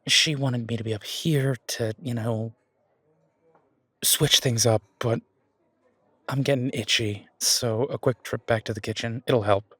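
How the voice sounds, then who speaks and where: A man performs an easygoing chef and restaurant owner in an audio roleplay. His medium-pitched voice is husky, with casual, folksy, reassuring delivery. The sound is dry and intimate. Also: fairly even intonation, unhurried, pushed and bright, dry recording. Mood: restless, friendly.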